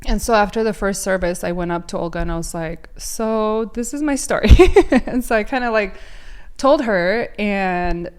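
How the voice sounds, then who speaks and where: A woman from Ukraine, a guest on a faith podcast, tells her personal story with real emotion. Her voice sits at a middle pitch, and she leans heavily on fillers and restarts as she talks. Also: normal speed, smooth.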